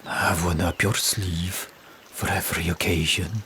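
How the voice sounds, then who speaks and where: An actor voicing gruff, weary Viking men in an audiobook, proud and sardonic, speaking slowly in a gravelly voice. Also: male voice, low-pitched, flat delivery, sharp tone, a little hiss or hum, heavily acted. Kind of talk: audiobook character dialogue.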